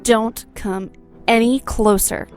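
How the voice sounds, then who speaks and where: A woman's voice: a little high, fairly even intonation, slow pace, faint music behind. A woman playing a cold, taunting vampire who was once a loving wife, in an audio drama. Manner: taunting, accusing. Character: cold, mocking young wife turned immortal vampire.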